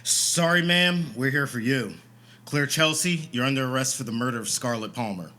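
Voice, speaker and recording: A man acting a gruff, cynical, world-weary city detective in a radio play, with a wry manner. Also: medium pitch, moderate pace, pushed and bright, lightly acted. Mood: commanding.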